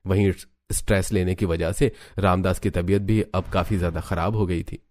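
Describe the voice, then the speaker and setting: A man's voice: deep voice, fairly even intonation, normal speed, a little mellow, no room sound, clean studio sound. A man narrating a scripted cartoon story as the storyteller.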